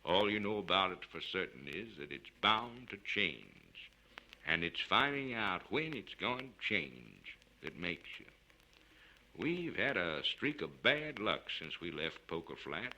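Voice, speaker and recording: A man voicing a calm, reflective professional gambler in a storybook reading, speaking in a sententious, folksy way. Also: sits low, takes it slowly, exaggerated voice. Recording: noisy vintage spoken-word record.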